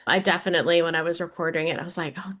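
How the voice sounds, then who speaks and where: Female, slightly low register, informal cast-panel conversation. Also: fairly even intonation, racing, fairly bright tone. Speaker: voice actor. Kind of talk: casual panel chat.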